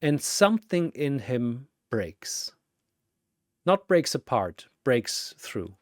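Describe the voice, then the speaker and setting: A man's voice: mid-range voice, big pitch swings, takes it slowly, no room sound, clean studio sound. A man narrating a scripted action story in a theatrical way, with short suspenseful phrases.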